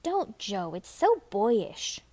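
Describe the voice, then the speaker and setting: A woman's voice: medium pitch, sing-song, takes it slowly, a little mellow, pitched above the performer's own voice. A woman voicing a vain, affected young girl in an audiobook story, petulant and full of prissy dignity. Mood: disapproving. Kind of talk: audiobook character acting.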